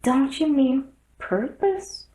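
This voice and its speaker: Lady doing a polite curious little girl, bit timid, storybook, slow careful talk, roomy echo. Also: sits high, animated, warm tone, pitched above the performer's own voice. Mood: wondering. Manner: wondering, polite. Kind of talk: storybook character reading.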